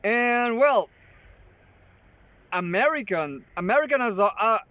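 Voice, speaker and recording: A man with a notably high voice hosts his own channel, reading an article aloud and commenting on it. He talks slowly and haltingly, restarting words often and slipping in joking asides. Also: expressive, bright, forceful tone, no echo.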